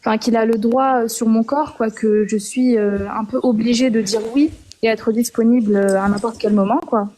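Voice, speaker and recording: A young woman from Algeria calling in to share her story, talking hesitantly with many pauses and restarts. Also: on the high side, fairly even intonation, mellow. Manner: hesitant, frequent filler sounds and restarts. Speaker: caller. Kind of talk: personal testimony on a call-in show.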